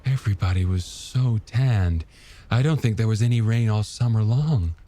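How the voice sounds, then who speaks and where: A man's voice: on the low side, normal speed, mellow, no echo, close to an everyday voice. A man voicing a wistful, nostalgic married woman in an audiobook, reminiscing with some hesitation. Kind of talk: audiobook character dialogue.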